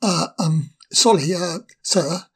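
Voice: medium pitch, takes it slowly, breathy edge. A storyteller voicing a shy young male fire sprite who stammers and apologizes. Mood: nervous. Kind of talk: storybook reading.

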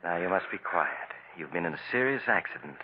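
An American actor playing a calm, authoritative hospital doctor in 1940s radio style, measured and reassuring. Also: male voice, low voice. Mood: calm, businesslike. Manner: measured, reassuring bedside delivery. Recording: muffled vintage 1940s radio broadcast.

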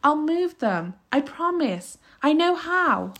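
A woman's voice: high-pitched, very animated, moderate pace, voice pushed up. A woman voicing an earnest, nervous young boy in a storybook, helpful and urgent in manner. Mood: pleading.

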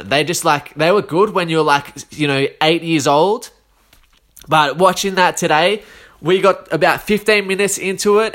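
Australian guy, 30s, under the weather, loose slangy solo chat, voice sits a bit high. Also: a bit bright. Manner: rambling, slangy, many fillers and restarts. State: a bit sick, coughing.

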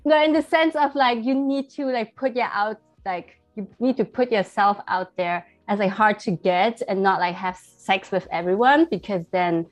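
A woman's voice: mid-range voice, normal speed, few pauses. A young woman from Germany, a podcast guest talking openly and casually, peppering her speech with "like" and "I think". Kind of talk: casual personal interview.

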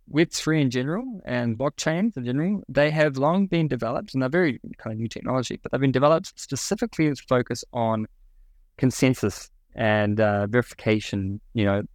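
A young man from New Zealand, with the accent to match, walks through technical ideas in an easygoing explanatory way. He pauses on plenty of ums and restarts his sentences. His mid-pitched voice is recorded close and dry. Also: no echo. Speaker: guest, product officer. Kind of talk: podcast interview.

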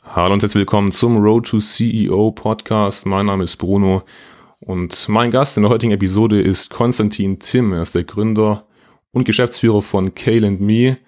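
A man hosting a business podcast, relaxed and chatty, speaking while he has a slight cold and leaning on filler sounds as he asks questions. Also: on the low side, moderate pace. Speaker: podcast host. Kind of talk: casual interview hosting.

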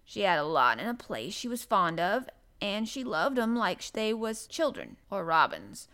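A woman's voice: medium pitch, sing-song, normal speed, a bit bright. A woman voicing a grumpy old male gardener with a Yorkshire dialect and a grumbling manner, in an audiobook. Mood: gruff, plain. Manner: grumbling, grunting, dialect speech. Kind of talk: audiobook character voice.

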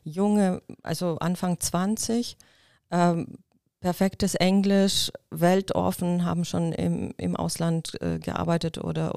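A woman's voice: lowish voice, gravelly, a little mellow, no room sound, clean studio sound. A middle-aged woman born in Ukraine, a lawyer talking as a podcast guest in thoughtful casual chat with many fillers and restarts.

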